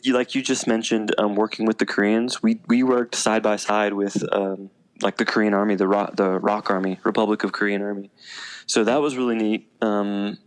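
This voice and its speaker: A man's voice: fairly low pitch, smooth. A man chatting casually as a podcast guest, telling personal stories in an informal, self-deprecating way. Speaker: podcast guest, military veteran and teacher.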